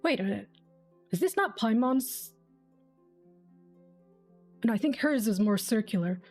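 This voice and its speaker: A woman's voice: on the high side, lively intonation, measured pace, soft background music. A woman game streamer giving casual, sweary reaction commentary, thinking aloud as she plays.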